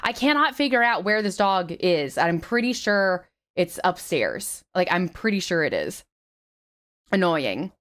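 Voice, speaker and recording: Chatty streamer woman, slightly raspy. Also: on the low side, unhurried. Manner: chatty, swears freely, animated greetings. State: slightly raspy after flu. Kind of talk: casual stream chat greeting viewers. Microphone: headset.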